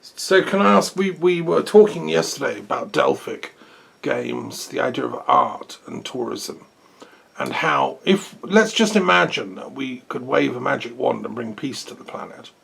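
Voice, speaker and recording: Gravelly, husky-voiced man hosting. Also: mid-range voice, lively intonation, measured pace, a bit bright. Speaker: host. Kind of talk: casual interview.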